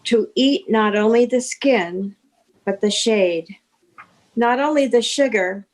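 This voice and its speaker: Slow-paced woman reading poetry, higher voice. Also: poor audio. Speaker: participant reading poetry aloud.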